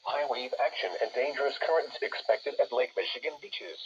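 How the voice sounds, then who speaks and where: A man's voice: mid-range voice, fairly quick, a bit bright. A man reading scripted weather bulletins and forecasts in formal, segmented phrasing over a radio broadcast. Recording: dull, noisy weather radio playback.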